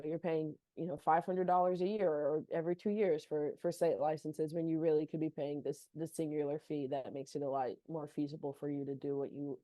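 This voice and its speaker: A woman's voice: low voice, mellow, no room sound. A young woman from North Carolina, an athletic trainer, talking chattily in a casual podcast interview with many "like" fillers. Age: young adult.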